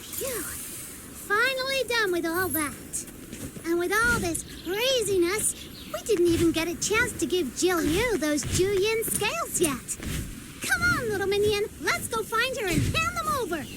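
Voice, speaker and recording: A very high, bouncy little girl game character, cheeky and boastful. Her pitch swoops around freely as she bosses and teases. There is clear noise behind the voice. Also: animated, unhurried. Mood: breezy, bossy. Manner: cheeky, bossy, teasing. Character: brash, playful, boastful small girl companion. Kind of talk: video game character voice acting.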